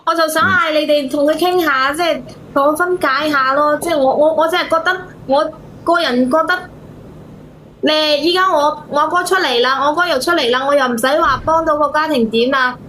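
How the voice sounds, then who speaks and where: A woman's voice: sits high, a little fast, fairly bright tone, slight background noise, rough recording. A woman caller hesitantly and defensively explaining a family problem, repeating herself.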